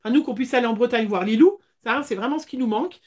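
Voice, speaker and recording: A woman hosts a casual craft livestream from a small room. Her voice is high. She chats along with hesitant pauses, speaking into a device at arm's length. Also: a little mellow.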